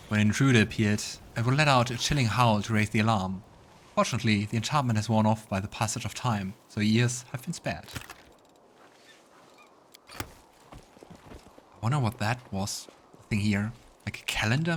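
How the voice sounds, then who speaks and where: A man's voice: on the low side, a bit bright, a little hiss or hum. A man hosting a let's play, mixing casual game commentary with narration read aloud. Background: game music.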